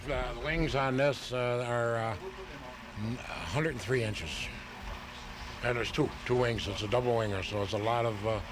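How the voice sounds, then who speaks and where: A man's voice: medium pitch, fairly even intonation, moderate pace. Matter-of-fact man on a noisy radio tape. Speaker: radio guest, model aircraft hobbyist. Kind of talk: casual explanatory interview answers.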